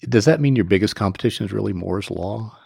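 A man hosting a conversational interview, musing in a measured way and choosing his words carefully. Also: lowish voice, vocal fry, a little mellow, slightly rough, no echo, clean studio sound. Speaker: podcast host.

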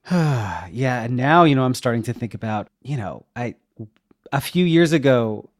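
A man's voice: medium pitch, expressive, unhurried, no echo, studio quality. A middle-aged man talking reflectively in a conversational interview, in a hesitant, thoughtful, self-questioning manner. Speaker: podcast guest, web developer and educator.

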